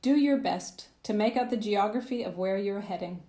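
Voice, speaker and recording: A woman narrating a guided visualisation as a coach, in a soothing, gentle, measured manner with phrases that trail off softly. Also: mid-range voice, roomy sound. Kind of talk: guided meditation, scripted narration.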